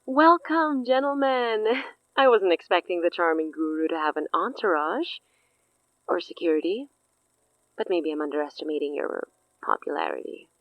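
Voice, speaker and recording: An actress playing a poised, teasing society hostess with veiled menace in an audio drama. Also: female voice, fairly low pitch, animated, normal speed, no echo, lo-fi sound. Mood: arch, welcoming. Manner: arch, theatrical, veiled menace.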